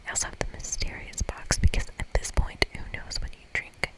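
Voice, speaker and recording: A woman's voice: brisk, natural voice. A woman voicing a sly, teasing male magical cat bartender in an ASMR game reading, whispering.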